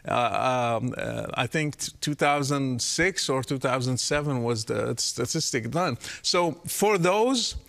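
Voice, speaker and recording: A man's voice: medium pitch, expressive, a little slow, vocal fry, few pauses, a bit bright. A man, an Arabic speaker, explaining his views earnestly as a guest on an interview podcast.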